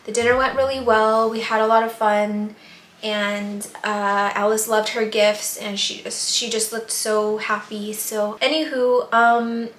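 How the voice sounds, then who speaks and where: Hoarse chatty woman, echoey room. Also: on the high side, little pitch movement, unhurried, a bit bright, a little hiss or hum. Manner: chatty, rambling with fillers. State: losing her voice. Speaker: plant vlogger. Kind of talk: casual vlog chat.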